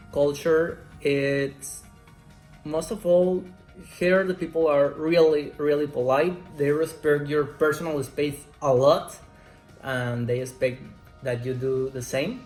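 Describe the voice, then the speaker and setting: Slow, careful man in his twenties. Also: a little high, a little mellow. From Mexico. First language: Spanish. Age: 20s. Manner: slow, careful non-native English. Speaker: interviewee. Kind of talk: student interview answers. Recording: roomy echo, faint music.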